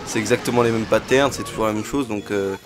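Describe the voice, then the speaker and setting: A man's voice: fairly low pitch, fast, soft background music. A man with a cold casually commenting on a game he is playing, chatty and full of fillers and interjections. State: has a cold. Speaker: let's play host.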